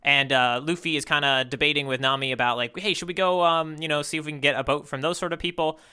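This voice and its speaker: Male podcast co-host, slightly high and crisp, casual rambling recap delivery with frequent self-corrections. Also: fairly even intonation, fast, sharp tone.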